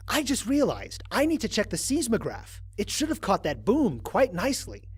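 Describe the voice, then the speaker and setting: A man's voice: high-pitched, normal speed, slightly rough, exaggerated voice. A man playing a lone astronaut who narrates his mission logs in a wry, exasperated, conversational way, as in an audio drama. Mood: wry, upbeat. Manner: conversational, expressive, sardonic. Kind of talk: dramatized first-person log narration.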